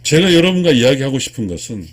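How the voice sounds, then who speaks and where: A husky-voiced man in his sixties delivers an earnest spiritual lecture. His pitch swings widely as he stresses and repeats key phrases. He addresses his viewers directly, like a teacher. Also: very animated, fast talker. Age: 60s. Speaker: lecturer, video channel host.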